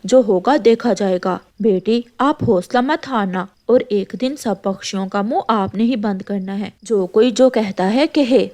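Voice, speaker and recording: Woman playing a reasonable, measured father bird. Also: medium pitch, darkish tone, lightly acted. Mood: reassuring. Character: gentle, reasonable father bird, supportive of his daughter. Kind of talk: Hindi moral-story cartoon voice acting.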